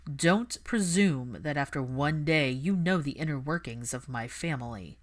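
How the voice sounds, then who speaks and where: A woman's voice: deep voice, measured pace. A woman voicing a cold, guarded aristocratic young father with clipped, contemptuous formality, in an audiobook. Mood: scornful, challenging. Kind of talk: audiobook character dialogue.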